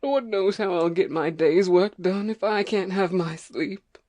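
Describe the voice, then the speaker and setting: A woman's voice: medium pitch, a little slow, mellow, natural voice. A woman playing a weary, stubborn, ignorant farm wife with a rural American accent, scolding in folksy dialect in an audiobook play. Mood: indignant. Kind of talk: amateur audiobook play acting.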